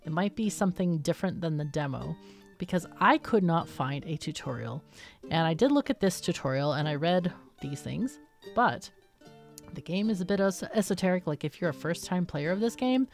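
Woman, slightly low voice, casual gaming host, explanatory, thinking aloud, music behind. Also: lively intonation, normal speed, darkish tone. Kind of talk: casual let's-play commentary.

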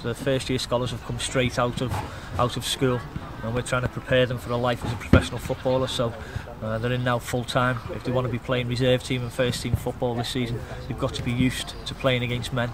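A middle-aged man, a youth football coach giving a measured, matter-of-fact post-match interview outdoors. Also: mid-range voice, completely flat delivery, low-quality recording. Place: outdoors beside a grass football pitch.

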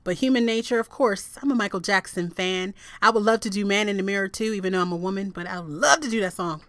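A young woman chatting casually to her webcam in a small room, laughing at herself and breaking into bits of song, with a low register voice. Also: fast talker, a bit bright, no room sound. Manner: giggly, self-deprecating, breaks into song. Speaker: vlogger, singer. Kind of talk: casual chat to camera, singing snippets.

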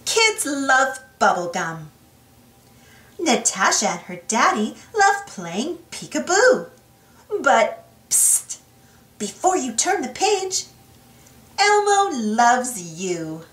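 A woman reading a children's storybook aloud in an expressive, singsong way. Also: high-pitched, slow pace, pauses often. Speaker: storybook reader, channel host. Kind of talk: children's read-aloud narration. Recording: roomy, distant mic, soft music behind.

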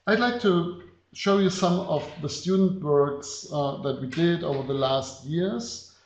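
A middle-aged man from Bavaria with a German accent, giving a slide lecture on a video call at an unhurried pace with many filler pauses. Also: fairly high pitch. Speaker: design professor. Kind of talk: webinar lecture presenting slides. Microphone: computer mic on a video call. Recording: echoey, dull webinar audio.

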